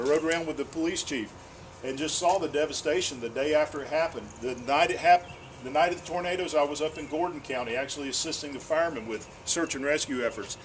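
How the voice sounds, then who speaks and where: A man's voice: fairly high pitch, fairly bright tone, slight background noise. A man, a state senator giving an earnest town hall speech and answering questions, often repeating words as he restarts.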